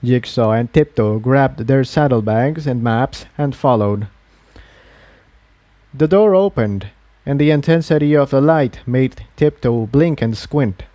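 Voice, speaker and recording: A man's voice: medium pitch, measured pace, mellow, no echo. A man reading a fantasy adventure story aloud as its narrator. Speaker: fan fiction narrator.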